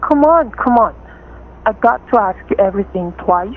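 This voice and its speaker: A menacing hostage-taker played by a woman from a radio thriller. The delivery is curt, distrustful and commanding, at a middling pitch for a woman. The sound is tinny and narrow. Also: animated, moderate pace, lightly acted. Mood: impatient, suspicious. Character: menacing, suspicious male gunman holding a couple hostage. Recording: tinny, narrow-band radio-play recording.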